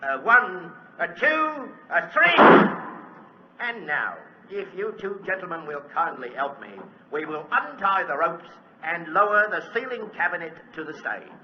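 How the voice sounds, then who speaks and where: An actor playing a showy, courteous stage magician, announcing formally and deliberately in 1940s radio style. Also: male voice, high voice, very animated. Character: showy stage magician addressing his audience, courteous and theatrical. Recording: dull, hissy, echoey old radio recording.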